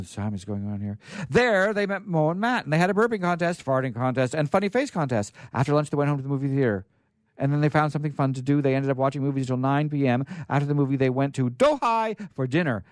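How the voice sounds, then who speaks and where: A man's voice: on the high side, dry recording. A man hosting a radio show, reading a story aloud live in a casual way and stumbling now and then into asides.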